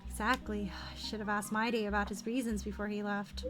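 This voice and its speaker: Woman voicing a subdued, earnest young game hero, lower-pitched. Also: level tone, fairly quick, lightly acted. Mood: earnest, reflective. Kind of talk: reading game dialogue aloud. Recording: game music behind the voice.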